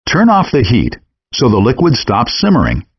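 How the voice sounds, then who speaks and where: A man's voice: medium pitch, huge pitch swings, normal speed, no room sound. A man reading scripted step-by-step instructions as the narrator of a game. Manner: clear step-by-step explaining. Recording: dull, compressed handheld game audio.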